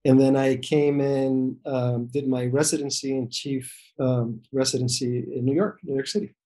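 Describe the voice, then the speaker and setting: A man's voice: medium pitch, steady tone, normal speed, dark tone. A middle-aged man from El Salvador, a physician answering interview questions in a hesitant way with many fillers and restarts, heard over a video call. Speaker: physician guest.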